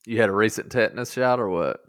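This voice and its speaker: A man's voice: lowish voice, fast. A man co-hosting a podcast, chatting casually in a folksy, conversational way. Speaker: podcast co-host. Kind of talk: casual chat.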